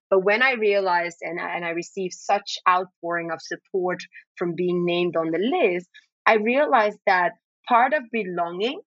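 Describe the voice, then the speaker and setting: A woman who grew up in the Netherlands and is a native Dutch speaker, giving thoughtful, measured answers as an interview guest. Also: on the low side, a bit bright, dry recording, lo-fi sound.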